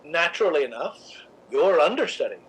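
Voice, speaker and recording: A suave, waspish gentleman critic from a 1950s radio play, acted by a man. His husky voice runs a little high, and he delivers each formal phrase with arch precision. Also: very animated, a little fast, full character voice, low-quality recording. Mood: smug, needling. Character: suave, waspish, supercilious male theatre critic. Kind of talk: 1950s radio drama acting.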